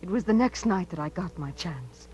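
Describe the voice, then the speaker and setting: An actress playing an earnest, frightened but defiant young niece with an American accent in a 1950s radio drama. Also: female voice, fairly low pitch, lively intonation, normal speed, darkish tone, slight background noise, natural voice, low-quality recording. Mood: tense narration. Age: young adult. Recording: vintage 1950s radio broadcast.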